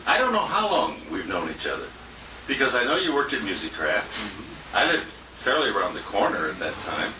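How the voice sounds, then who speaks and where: A man's voice: on the low side, sing-song, moderate pace, distant mic. An older American man, a radio announcer, giving a wry, rambling, informal tribute speech in a restaurant banquet room. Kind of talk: informal, joking off-the-cuff speech. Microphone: distant handheld compact camera. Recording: very noisy, echoey handheld camera from afar.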